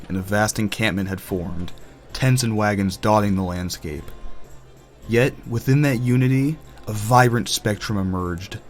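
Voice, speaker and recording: Deep-voiced man narrating a fantasy tale. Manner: theatrical, deliberate. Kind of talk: dramatic scripted fantasy storytelling.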